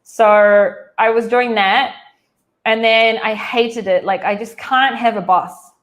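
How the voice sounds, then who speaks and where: A woman's voice: on the high side, steady tone, takes it slowly, fairly bright tone, highs cut off. A woman in her thirties with a New Zealand accent, telling her life story as an interview guest in a casual, chatty way over a video call, full of "like" fillers and restarts. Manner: chatty, frequent "like" fillers and restarts, laughs easily.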